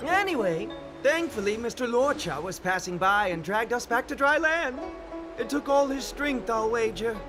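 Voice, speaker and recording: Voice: mid-range voice, animated, normal speed, natural voice. An actor voicing an eager, talkative little companion in a video game, with formal, mock-dignified phrasing. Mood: recounting. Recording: loud music behind.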